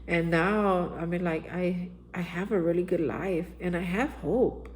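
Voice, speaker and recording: A man's voice: high-pitched, steady tone, unhurried. Earnest man giving a recovery pep talk. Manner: earnest, exhorting, repeats phrases and says "man". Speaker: recovery vlogger. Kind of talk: daily spiritual reflection monologue. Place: Austin, Texas area.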